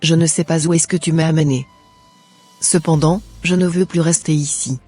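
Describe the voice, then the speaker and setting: A man's voice: a little high, fairly even intonation, normal speed, warm tone, husky, soft background music. A man voicing an anxious, submissive wife in an amateur cartoon dub, with earnest, pleading delivery. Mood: distressed.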